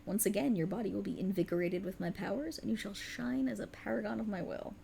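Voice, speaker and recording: Woman playing a haughty, creaky-voiced dark goddess. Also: medium pitch, fast talker, a little hiss or hum. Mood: imperious, satisfied. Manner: condescending, taunting, theatrical, with breathy chuckles. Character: cruel, haughty otherworldly warlock patron, a seductive dark deity. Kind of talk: ASMR roleplay, scripted dramatic monologue.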